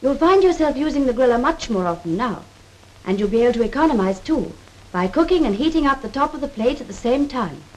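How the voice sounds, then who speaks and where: A woman's voice: high voice, lively intonation, fairly quick. A woman playing an assured cookery demonstrator in a 1930s British film, speaking precise Received Pronunciation with a proper, instructive manner. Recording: worn, noisy 1930s film soundtrack.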